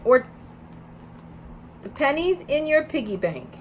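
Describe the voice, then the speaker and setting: A woman's voice: high-pitched, sing-song, takes it slowly. Woman teaching through a read-aloud, noisy recording. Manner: instructional, emphatic when reading aloud. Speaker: early childhood educator.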